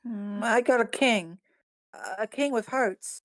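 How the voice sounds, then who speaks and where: A woman's voice: on the high side, takes it slowly, no room sound. A woman at a screenplay table read playing an earnest young boy who is homesick and determined.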